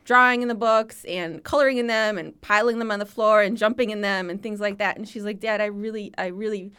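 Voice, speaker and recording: A woman's voice: mid-range voice, steady tone, fairly quick, pushed and bright, smooth. A middle-aged woman from Chicago, an archivist, chatting in an interview and telling a story with fillers and restarts. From Chicago, USA. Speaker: archivist, project founder. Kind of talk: casual interview answer.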